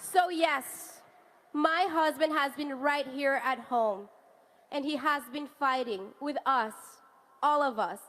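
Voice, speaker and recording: A woman in her thirties, born in Venezuela and raised in Canada, delivers a stirring rally speech. Her voice sits high, and she builds her lines rhetorically toward slogans. A faint hiss of noise runs underneath. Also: slow, a bit bright. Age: 30s. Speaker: rally speaker, wife of a party leader.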